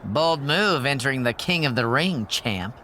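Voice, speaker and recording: A man's voice: medium pitch, lively intonation, unhurried, sharp tone, a little hiss or hum. A man playing a smug, mocking corporate schemer in a wrestling video game cutscene. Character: smug, scheming male wrestling manager's henchman.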